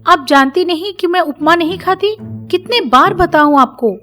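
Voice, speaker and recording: A woman's voice: very high voice, moderate pace, sharp tone, soft background music, voice pushed up. A woman voicing a sarcastic, scolding young daughter-in-law in a family story. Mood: angry shouting. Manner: scolding, complaining.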